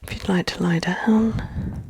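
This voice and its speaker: Slow, breathy woman guiding relaxation softly. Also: on the low side, steady tone, no room sound. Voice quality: breathy, hushed. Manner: gentle, soothing, often dropping into a whisper. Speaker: relaxation guide. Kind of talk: soft-spoken ASMR guided relaxation.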